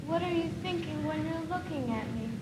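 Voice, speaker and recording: A young girl playing a frail, reproachful old nursing-home woman, reciting a dramatic poem with halting phrasing. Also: very high-pitched, expressive. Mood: plaintive, reproachful. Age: young teenager. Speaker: student actor in a school one-act play. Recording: echoey, distant, noisy 1980s school video.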